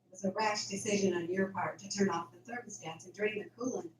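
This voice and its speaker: A woman's voice: mid-range voice, steady tone, quick pace, close to an everyday voice. A woman playing an affectionate, encouraging young farm woman in an amateur radio drama. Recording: echoey room, faint background noise.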